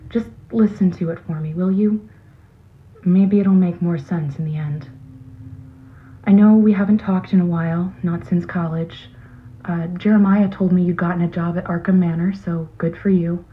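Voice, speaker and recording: A woman's voice: on the low side, fairly even intonation, normal speed, lightly acted. A woman playing a troubled botanist leaving a hesitant, confessional recorded message for an old friend in an audio drama. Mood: hesitant, uneasy. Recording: muffled, noisy lo-fi tape message.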